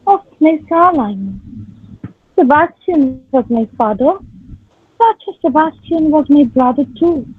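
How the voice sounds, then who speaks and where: A woman's voice: high-pitched, animated, slow, no echo. A woman playing an earnest young woman disguised as a page boy in a dramatic Shakespeare reading. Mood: earnest, moved. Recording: tinny phone-like audio under guitar music.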